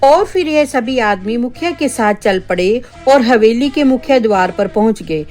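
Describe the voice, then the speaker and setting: A woman's voice: fairly high pitch, animated, normal speed, darkish tone. A woman narrating a scripted spooky tale for children as a storyteller. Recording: background music under the voice.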